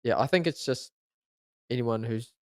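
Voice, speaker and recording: A man, co-hosting a podcast, chatting in an easygoing way and explaining things with gentle hedges. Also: medium pitch, moderate pace, dry recording, clean studio sound. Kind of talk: casual chat.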